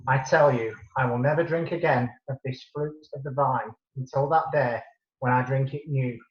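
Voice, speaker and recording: Man reading as grave sorrowful teacher, solemn, measured, mid-pitched, dull echoey recording, Bible drama. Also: steady tone, close to an everyday voice. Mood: solemn, foreboding. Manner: solemn, measured scripture delivery. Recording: echoey room, dull lo-fi sound.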